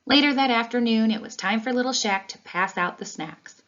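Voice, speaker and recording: A woman reading a children's storybook aloud in an expressive, animated way. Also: fairly high pitch, moderate pace. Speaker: read-along reader. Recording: dull, lo-fi sound.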